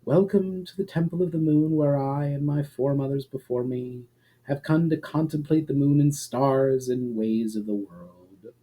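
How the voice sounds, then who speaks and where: A man voicing a proud, calm female elf leader in a fantasy storybook, with stately, measured and formal speech. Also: medium pitch, slightly rough, lightly acted, rough recording. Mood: patient.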